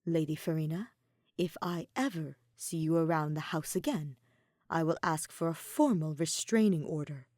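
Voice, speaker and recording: A woman voicing a composed, formal, commanding woman in a story, sultry and measured. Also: darkish tone, no room sound, clean studio sound. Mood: stern. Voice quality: deep, sultry.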